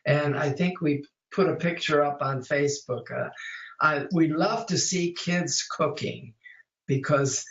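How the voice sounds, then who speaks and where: An elderly man in his mid-eighties gives steady, plain explanations in a casual talk. His voice is fairly high for a man. The audio is echoey and muffled. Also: measured pace, vocal fry. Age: 80s. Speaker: guest, cooking teacher. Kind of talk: casual interview chat.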